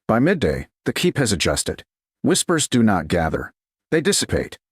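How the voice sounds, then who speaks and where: Low-voiced, measured male narrator. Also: wildly swooping pitch, slightly rough, no room sound, clean studio sound. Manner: slow, measured, unhurried. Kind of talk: scripted romance narration.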